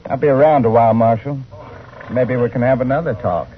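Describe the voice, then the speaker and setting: An actor playing a cocky gunfighter with veiled menace and a rough voice, in old-time western radio drama. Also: male voice, mid-range voice, brisk, a little hiss or hum, close to an everyday voice. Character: cocky, veiled-threatening gunfighter posing as wagon driver. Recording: tinny old radio broadcast.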